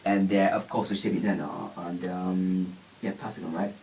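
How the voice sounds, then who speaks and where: A man's voice: low voice, fairly even intonation, normal speed, a little mellow. A man hosting a casual radio interview, rambling and laughing often. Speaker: radio host. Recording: distant mic with faint background noise.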